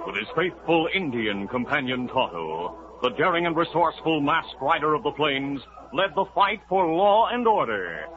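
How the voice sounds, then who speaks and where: A man narrating a radio drama as announcer, in a booming, declamatory delivery. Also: sharp tone. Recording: muffled, hissy 1950s radio broadcast.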